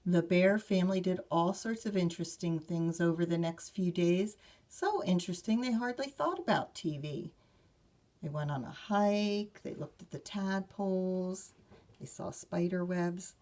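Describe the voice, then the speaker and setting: A woman librarian reading a picture book aloud to children, expressive and chatting directly to her young listeners. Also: lowish voice, a little slow. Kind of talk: read-aloud storytime with chatty asides.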